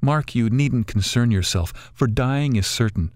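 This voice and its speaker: A man voicing a wise old female talking bird who counsels gently and calmly. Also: medium pitch, measured pace, no room sound, studio quality, natural voice. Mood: gentle.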